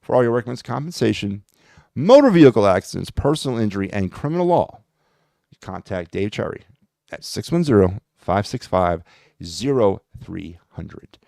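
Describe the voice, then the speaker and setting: A man's voice: lowish voice, sing-song, moderate pace, gravelly, mellow, no room sound, studio quality. A man hosting a solo sports podcast, talking in a rambling, blunt and sarcastic way with lots of fillers. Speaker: podcast host.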